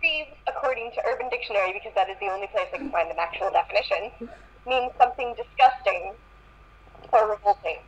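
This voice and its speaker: A woman's voice: mid-range voice, animated, fast, husky, muffled recording. A woman reading a story aloud as an amateur, stumbling over and repeating words and adding asides. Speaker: reader in a group fan fiction reading.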